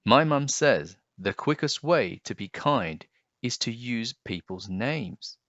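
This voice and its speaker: A man reading a picture book aloud and then talking to children about it, explaining things gently and simply. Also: mid-range voice, animated, slow pace, no room sound, dull audio.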